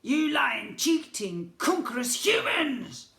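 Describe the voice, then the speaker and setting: A spoiled little goblin prince screeches and squeals in a very high, bright, piercing voice. He bellows orders and throws tantrums, his voice straining and strange. The room adds a roomy echo. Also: expressive, moderate pace, vocal fry, heavily acted. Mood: shrieking tantrum. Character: spoiled tiny bratty male goblin prince.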